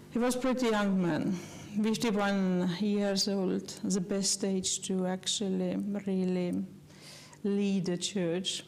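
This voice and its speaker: A woman giving a slide lecture in English, which is not her first language, talking earnestly and haltingly with many fillers and restarts. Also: mid-range voice, gravelly, a little mellow, a little hiss or hum, low-quality recording. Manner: earnest, personal.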